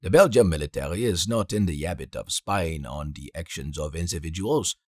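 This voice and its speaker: A man voicing a stiff, formal senior military officer in an audiobook, speaking in a measured way. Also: deep voice, animated, no echo, studio quality. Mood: formal, respectful.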